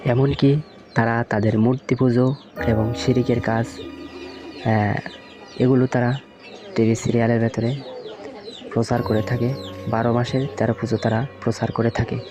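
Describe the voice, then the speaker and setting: A man's voice: medium pitch, little pitch movement, normal speed, pauses often, natural voice. A man voicing a pious, stern uncle in a religious cartoon, admonishing his nephew in a didactic way. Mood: preaching, stern. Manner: didactic, questioning. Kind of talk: scripted religious cartoon dialogue and preaching. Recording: music behind the voice.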